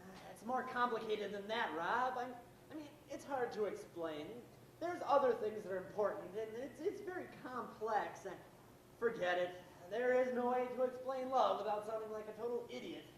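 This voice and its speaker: A man's voice: high-pitched, animated, moderate pace. A man playing a defensive, lovestruck teenage boy in an amateur stage play. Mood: earnest. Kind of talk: amateur one-act stage play acting. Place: auditorium stage, miked from far off. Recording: echoey distant stage recording with noise.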